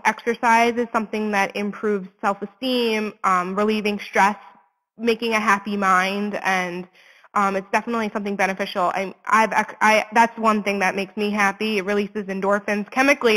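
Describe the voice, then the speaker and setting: Woman, twenties, mid-pitched, reverberant recording, earnest conversational delivery, candid interview guest. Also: fairly bright tone. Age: 20s. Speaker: interview guest, suicide prevention advocate.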